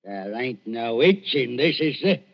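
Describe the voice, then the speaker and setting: An actor playing a big, bullying grave robber, gruff and insolent, in 1940s radio style. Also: male voice, medium pitch, animated, measured pace. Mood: insolent, menacing. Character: big, surly, threatening male grave robber. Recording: muffled old radio recording.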